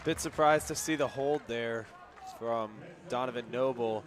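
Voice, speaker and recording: A man providing conversational color commentary on a radio baseball broadcast, explaining things in a measured way. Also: mid-range voice, crowd noise. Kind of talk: conversational sports analysis on radio. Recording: faint outdoor ambience and traffic-like noise.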